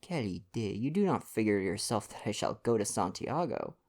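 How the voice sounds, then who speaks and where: Guy doing a fussy vain belgian detective, proud n theatrical, bit of gravel, storybook reading. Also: on the low side, very animated, fairly quick, a little mellow. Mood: indignant. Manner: pompous, emphatic, formal phrasing.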